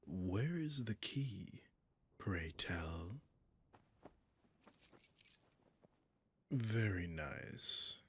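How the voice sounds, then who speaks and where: A man playing a haughty, possessive dragon king in a roleplay audio drama, speaking in slow, deliberate, formal phrases with a breathy, husky voice that often drops near a whisper. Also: deep voice, sing-song, fairly bright tone, slight background noise, heavily acted. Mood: haughty, intrigued. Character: imperious, possessive male dragon king, menacing and seductive.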